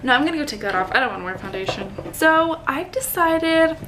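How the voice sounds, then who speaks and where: A woman's voice: high-pitched, expressive, fairly quick, a bit bright, poor audio. A young woman chatting informally and giggly in a casual get-ready-with-me vlog. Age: young adult. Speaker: vlogger. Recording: faint background noise.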